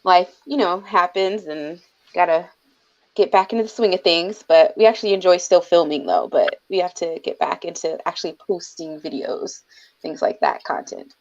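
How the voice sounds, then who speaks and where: A woman in her thirties, from the DC area, talking as a podcast guest in an easygoing, soft-spoken way with frequent ums. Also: lowish voice, lively intonation, normal speed, dull audio. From DC area, USA. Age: 30s. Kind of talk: casual podcast chat. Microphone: remote call mic.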